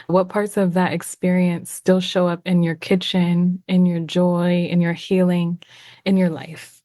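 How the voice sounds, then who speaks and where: A woman hosting a conversational interview, asking long questions in a supportive, gentle manner. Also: on the low side, level tone, measured pace, no room sound, clean studio sound. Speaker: podcast host, interviewer.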